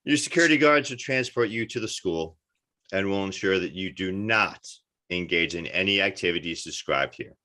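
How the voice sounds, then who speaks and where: A man acts a prison warden in a script read. His voice is deep and his delivery measured. He sounds stern, formal and fully official. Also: pushed and bright. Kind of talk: screenplay table read.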